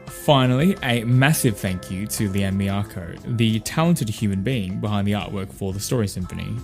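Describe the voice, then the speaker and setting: A man reads an upbeat, scripted podcast outro. His voice sits a little low, and guitar music plays softly behind him. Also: normal speed, creaky. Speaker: podcast host and voice actor.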